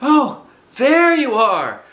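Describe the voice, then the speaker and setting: Storybook toy bear: very high voice, earnest, fretful, ponderous and slow, slightly silly. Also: male voice, wildly swooping pitch, sharp tone, roomy sound, full character voice. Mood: earnest, scheming. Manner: ponderous, solemn, drawn-out phrasing. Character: earnest, anxious, silly old honey-loving toy bear. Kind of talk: children's storybook read-aloud.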